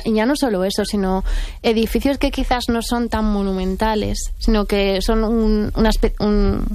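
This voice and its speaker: A woman's voice: medium pitch, darkish tone, dry recording. A woman, an author who works at a museum, speaking as an interview guest in a thoughtful, explanatory way with frequent hesitations. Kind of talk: conversational interview.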